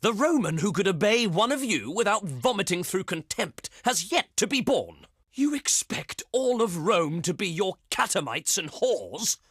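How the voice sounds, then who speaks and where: An actor playing a haughty, contemptuous Roman senate diplomat in a strategy game, speaking in a pompous, theatrical, oratorical way. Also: male voice, high voice, very animated, measured pace, a bit bright, slightly rough, no room sound, studio quality, exaggerated voice. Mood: scornful, outraged.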